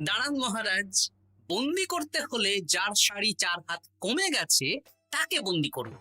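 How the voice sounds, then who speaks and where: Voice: medium pitch, big pitch swings, normal speed, sharp tone, faint music behind, full character voice. An actor playing a clever, witty male court jester in a cartoon, sly and confident as he explains things. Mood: accusing. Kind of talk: cartoon voice acting.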